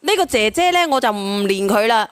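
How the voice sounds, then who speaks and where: A woman hosts a casual live call-in in speech. Her voice sits fairly high and close, with little room sound. She is blunt and animated as she questions callers. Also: a little fast, clear, resonant tone, no room sound. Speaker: live-stream host of a relationship call-in show.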